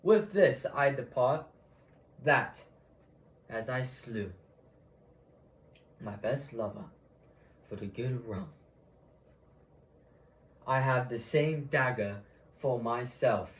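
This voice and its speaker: A man playing a solemn Roman senator in a theatrical Shakespearean monologue, declaiming to a crowd with dramatic pauses and swells. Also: mid-range voice, natural voice. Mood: resolute. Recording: echoey room.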